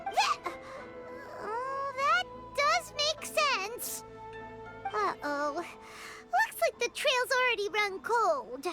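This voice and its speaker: A woman voicing a small, chirpy, excitable childlike fairy companion in a video game. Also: very high voice, drawn-out words, full character voice. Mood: deflated. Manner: exclamatory, stammers when startled. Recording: loud music behind the voice. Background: music.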